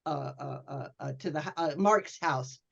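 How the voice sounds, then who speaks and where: Halting woman on a muffled recording. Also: low voice, creaky, breathy edge. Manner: hesitant, halting delivery. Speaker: panelist. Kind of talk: casual history discussion. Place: remote call for a virtual convention. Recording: dull lo-fi sound.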